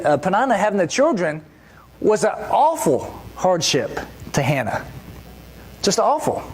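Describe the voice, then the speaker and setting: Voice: medium pitch, a little mellow. A preacher giving a church sermon in a conversational way, often asking the listeners rhetorical questions.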